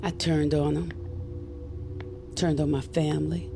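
An actress playing a guarded, earnest middle-aged witch on the run from her crime family, confiding in an intimate audio drama with a gravelly voice. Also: low-pitched, flat delivery, unhurried. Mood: pained, confessional. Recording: music under the voice. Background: music.

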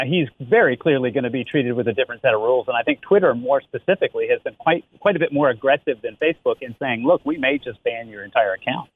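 A man giving conversational expert commentary as an interview guest, explaining things with frequent asides over a phone connection. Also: medium pitch. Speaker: interview guest, company chief executive. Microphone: remote phone-like connection. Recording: muffled, narrow phone-line sound.

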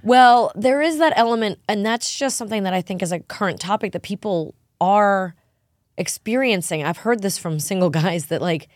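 A woman's voice: medium pitch, lively intonation, normal speed, dry recording, studio quality. A woman co-hosting a podcast, chatting casually and animatedly, often breaking into laughs. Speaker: podcast co-host. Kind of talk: casual chat.

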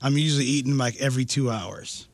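A man's voice: medium pitch, quick pace, no echo. A man in his late forties, a guest in a casual chat, answering plainly with frequent restarts. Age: 40s. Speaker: guest bodybuilder.

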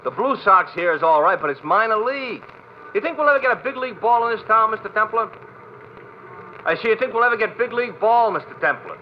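An actor playing a chatty, folksy cab driver who repeats himself in slang, in an old radio drama. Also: male voice, high voice, animated, quick pace. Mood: chatty, pestering. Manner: garrulous, repetitive, slangy working-class talk. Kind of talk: golden-age radio drama acting. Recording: muffled, hissy vintage radio recording.

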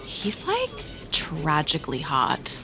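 An actress playing a self-absorbed, gossipy young coworker in an audio drama, with a breezy, overdramatic drawl. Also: female voice, low voice, wildly swooping pitch, takes it slowly, music in the background, heavily acted. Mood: gushing, dramatic. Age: young adult.

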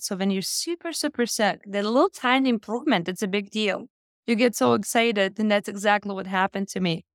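A woman's voice: mid-range voice, dry recording, studio quality. A woman from Lithuania with a Lithuanian accent, speaking as an interview guest and telling her personal story in an earnest, emphatic way. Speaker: interview guest, health entrepreneur.

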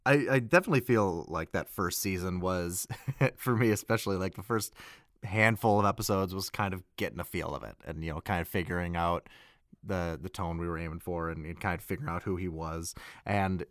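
A man's voice: deep voice, brisk, fairly bright tone, dry recording, studio quality. A man talking casually as a voice actor being interviewed. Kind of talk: casual chat.

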